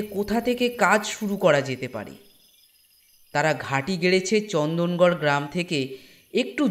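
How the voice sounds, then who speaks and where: A man narrating a scripted horror story as storyteller, with deliberate phrasing. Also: high voice. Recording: music bed behind voice.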